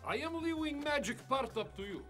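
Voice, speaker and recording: A man's voice: very high-pitched, animated, brisk, fairly bright tone, faint music behind. A man playing a pompous, vengeful tycoon villain in a cartoon, speaking broken, foreign-sounding English. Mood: vengeful, boastful. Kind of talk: animated villain acting.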